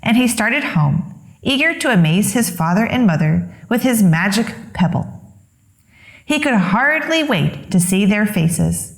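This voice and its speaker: A woman reading a children's story aloud as expressive narration, with deliberate, dramatic phrasing. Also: mid-range voice, gravelly, echoey room. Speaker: children's story reader, host.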